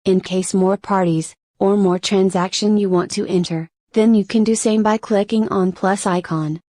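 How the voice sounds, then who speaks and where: A woman narrates a software tutorial from a script. Her pitch sits in the middle for a woman, and she explains in measured steps. The recording is close and dry, with little room sound. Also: steady tone, no room sound.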